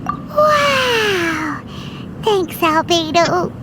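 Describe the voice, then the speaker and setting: A tiny, excitable fairy girl from an anime game. The voice is extremely high and squeaky, with a swooping, animated melody. She is bubbly and childlike, drawing her words out slowly. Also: very noisy, full character voice. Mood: delighted. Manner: bubbly, refers to self in third person. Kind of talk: anime video game voice acting.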